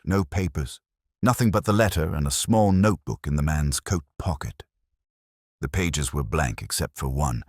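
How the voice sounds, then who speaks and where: A middle-aged man narrating a scripted first-person detective story in a measured, brooding, literary manner. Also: very deep voice, no room sound, clean studio sound.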